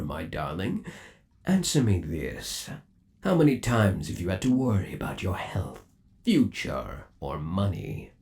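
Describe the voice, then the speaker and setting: A man acting as an obsessively devoted undead animatronic lover in an ASMR roleplay. His low voice moves slowly and swings widely in pitch. He coaxes theatrically, savoring every endearment with eerie tenderness. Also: gravelly, a little mellow, heavily acted. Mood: coaxing, persuasive. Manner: theatrical, coaxing, lingering on endearments.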